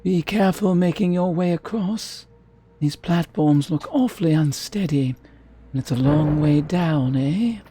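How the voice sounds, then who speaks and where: A woman voicing a wary scrying guide in a fantasy video game, with husky voice and archaic, cautioning speech. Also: deep voice, unhurried, dark tone, slight background noise. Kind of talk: video game character dialogue.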